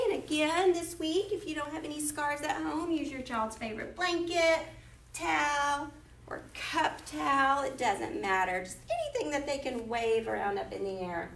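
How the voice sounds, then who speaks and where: A woman's voice: sits high, gravelly, fairly bright tone, slight background noise. A woman leading a children's storytime, giving simple singsong instructions to young children. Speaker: children's library storytime host. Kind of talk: children's singalong and rhymes with instructions. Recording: roomy echo, distant microphone.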